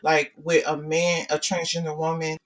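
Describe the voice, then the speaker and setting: A woman with a deep voice, co-hosting a casual podcast chat and pressing her points with repetition. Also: little pitch movement, moderate pace, recorded from a distance. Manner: opinionated, repeats points. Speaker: podcast co-host.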